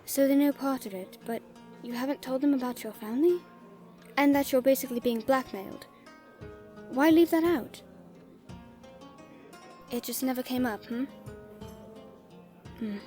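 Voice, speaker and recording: Casting: female fantasy merchant played by a woman, higher-pitched voice, affectionate and teasing, intimate audio-drama delivery over background music. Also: moderate pace, pauses often, natural voice. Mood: caring, earnest. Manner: gently teasing, encouraging, conversational.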